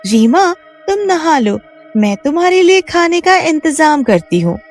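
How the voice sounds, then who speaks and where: A calm young married woman in a spooky cartoon, voiced by a woman who soothes and dismisses others' fears. She speaks high and slow, with faint music behind her. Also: full character voice. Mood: soothing.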